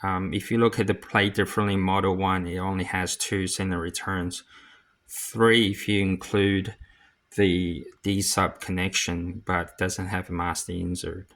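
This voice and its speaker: Man, slightly low voice, very unhurried pace, halting short phrases, casual hobbyist gear demo. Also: little pitch movement, a bit bright. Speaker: hobbyist DJ demonstrating audio gear. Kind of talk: casual hands-on gear demonstration.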